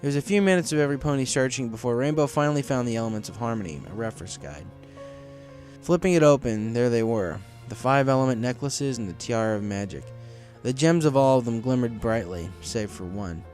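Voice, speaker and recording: A man's voice: medium pitch, expressive, fairly quick, darkish tone. Male story narrator over music. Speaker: audiobook narrator. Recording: music behind the voice.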